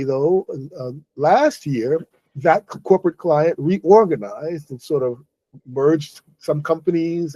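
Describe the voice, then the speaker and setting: A tired middle-aged man talks slowly to his viewers. He stops and restarts often. His voice sits a little high for a man, with a mellow, warm tone, and the room sounds dry and close. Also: no echo, rough recording. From New York City. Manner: halting, many restarts and self-corrections. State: weary after a stressful day. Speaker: online English host. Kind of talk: casual monologue to viewers.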